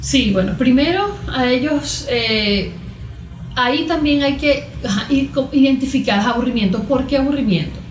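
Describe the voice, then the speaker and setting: Higher-voiced Venezuelan woman in echoey room. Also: normal speed. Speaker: guest expert, cognitive therapist. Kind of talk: conversational explanatory interview answers. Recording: echoey, roomy sound.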